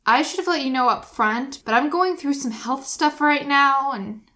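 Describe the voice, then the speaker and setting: A woman's voice: high-pitched, bright, forceful tone, voice pushed up. Woman voicing an earnest, stiff young man. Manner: earnest, blunt, halting.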